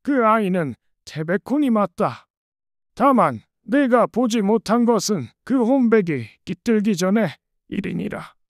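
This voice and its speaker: A sage old monk appears as a spirit in a folk tale, voiced by a woman. The delivery is solemn and oracular, with archaic wording. The pitch sits in the middle, and the sound is close and dry. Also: animated, unhurried, no room sound. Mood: solemn teaching. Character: serene, sage old male monk's spirit in a dream. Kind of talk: dramatized Korean folk tale dialogue.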